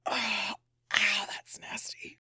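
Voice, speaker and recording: A performer playing a sarcastic, protective young supernatural hunter in an intimate audio role-play, with casual, wry and often breathy banter. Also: mid-range voice, completely flat delivery, slow pace, sharp tone, no echo, exaggerated voice. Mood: pained, stoic.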